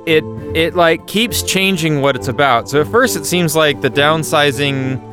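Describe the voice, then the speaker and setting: A man on a podcast panel giving a casual film review in a halting, rambling way. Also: a little high, music in the background.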